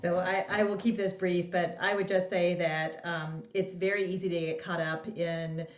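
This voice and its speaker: A middle-aged woman professor on a video call panel, speaking in a thoughtful, measured way. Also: lowish voice, flat delivery. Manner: thoughtful, measured, hesitant fillers. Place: indoor room on video call. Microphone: earphone mic. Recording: echoey, dull video call audio.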